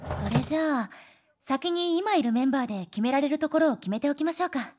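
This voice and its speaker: A woman voicing a composed, organized high-school girl in an anime game. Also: expressive, quick pace, darkish tone.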